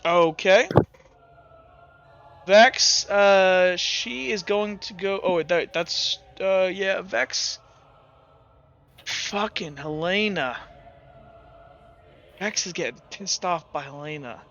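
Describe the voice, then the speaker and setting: A man's voice: high voice, slow, pauses often, a bit bright, highs cut off, slight background noise. A man running a tabletop game as game master, rambling with frequent restarts.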